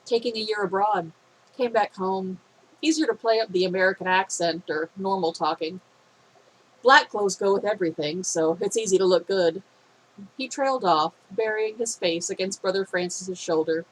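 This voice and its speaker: A woman reading a fan fiction story aloud in a steady, expressive way, her voice a little phlegmy. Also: mid-range voice, normal speed, rough recording.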